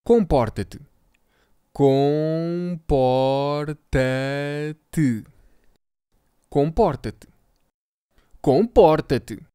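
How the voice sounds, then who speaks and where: A speaker with a European Portuguese accent, slowly repeating phrases word by word for learners. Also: medium pitch, mellow, smooth, dry recording, clean studio sound. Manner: slow, carefully articulated word-by-word repetition. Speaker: language teacher. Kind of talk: slow repetition drill for learners.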